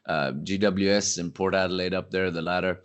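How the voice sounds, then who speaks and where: Low-voiced man, chatty analyst guest. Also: a little fast, clear, resonant tone, highs cut off. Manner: animated, rambling, frequent restarts. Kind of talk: casual podcast conversation.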